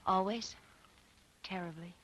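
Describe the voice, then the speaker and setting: An actress playing a heartbroken but resolute woman in an old radio drama, earnest and halting. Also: medium pitch, a bit bright. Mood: tender. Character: heartbroken, resolute woman ending a secret love affair. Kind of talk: 1940s-50s radio drama acting.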